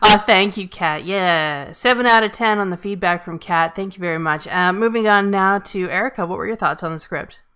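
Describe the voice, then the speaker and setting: A woman's voice: medium pitch, moderate pace, hardly any pauses, sharp tone, clean tone. A woman casually hosting a feedback session and handing over between speakers. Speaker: host moderating a script feedback session.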